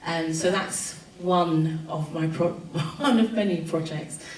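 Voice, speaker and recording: A woman's voice: on the low side, slow pace. A woman with a London accent speaking as a panel speaker, reflective and informal, with frequent ums and restarts. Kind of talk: spoken presentation at a panel. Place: event room at a festival panel. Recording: echoey room, distant mic, faint room noise.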